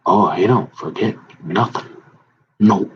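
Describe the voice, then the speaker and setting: A man's voice: low voice, slow, breathy edge. A man in a rambling, casual monologue, talking straight to his viewers and restarting his sentences often. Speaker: vlogger. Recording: dull lo-fi audio.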